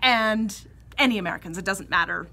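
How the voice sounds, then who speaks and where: A woman's voice: mid-range voice, fairly quick, gravelly, sharp tone. A Canadian woman co-hosting a knitting podcast, chatting casually and laughing often as she tells stories. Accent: Western Canadian. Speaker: podcast co-host. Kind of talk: casual chat.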